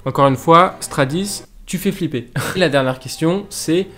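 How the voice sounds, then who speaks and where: A man's voice: on the high side, measured pace, a bit bright. Chatty young French man telling a story. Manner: casual, chatty, addresses viewers directly. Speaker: YouTuber narrating a story. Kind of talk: storytelling vlog narration. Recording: faint music bed behind voice.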